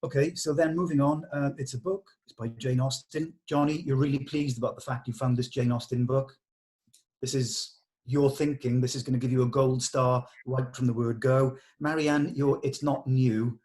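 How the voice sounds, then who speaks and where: A man leading a script table read, explaining notes to actors and often pausing mid-thought. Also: mid-range voice, fairly even intonation, a little mellow.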